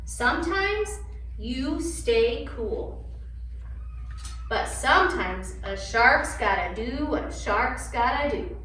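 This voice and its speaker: Excitable kid shark for a storybook, played by a woman: high, drawn-out delivery, very echoey room. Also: heavily acted. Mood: determined. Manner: shouting, over-excited. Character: exuberant, too-loud young boy shark in storybook. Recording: echoey room from far, faint noise.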